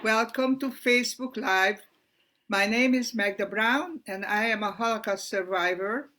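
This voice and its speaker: A woman, giving her personal testimony as a survivor in a slow, halting, earnest way, pausing often and sometimes restarting her sentences. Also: fairly high pitch, pushed and bright. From Hungary. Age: 90s. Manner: loses her place and restarts. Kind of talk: personal testimony on a live stream.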